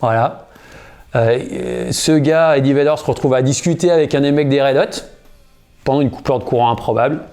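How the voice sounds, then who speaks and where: A guitarist in his thirties tells anecdotes in a loose, slangy way, pausing and restarting often. His voice is mellow and dark, at an ordinary middle pitch. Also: soft background music. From United States. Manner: relaxed, slangy, many fillers and restarts. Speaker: guitarist and singer. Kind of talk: casual interview storytelling.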